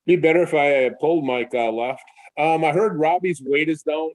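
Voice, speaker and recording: A man's voice: fairly high pitch, moderate pace, no room sound, poor audio. A man guest giving a plainspoken, considered opinion in a casual live video chat.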